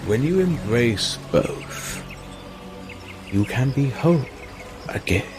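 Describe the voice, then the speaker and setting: A man acting as a theatrical villain who drawls in a slow, highly varied voice that dips and leaps as he teases. He is possessive, seductive and menacing, performing in an audio drama over music. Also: big pitch swings. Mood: musing, seductive.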